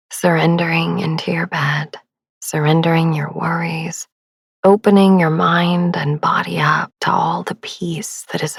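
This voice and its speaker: A woman hosting a sleep meditation podcast, speaking gently and soothingly in a soft, breathy near-whisper, unhurried. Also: lowish voice, no echo, studio quality.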